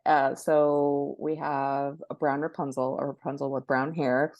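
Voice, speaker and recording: Low-voiced woman, close dry sound. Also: level tone, unhurried, a little mellow, no room sound, dull audio. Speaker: guest expert, book marketing professional. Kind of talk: conversational interview answers.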